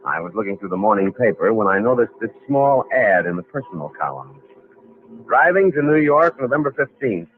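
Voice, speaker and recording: An actor with an American accent playing a wry, slangy young drifter in a vintage radio drama, both narrating and speaking in scenes. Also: male voice, on the low side, big pitch swings, fairly quick. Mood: wry, confiding. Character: streetwise, down-on-his-luck young drifter telling his story. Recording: tinny, noisy old radio broadcast recording.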